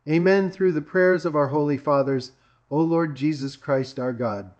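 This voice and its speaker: A man's voice: fairly high pitch, moderate pace, darkish tone, muffled recording. A man from Greece preaching a sermon, emphatic and repetitive, asking rhetorical questions.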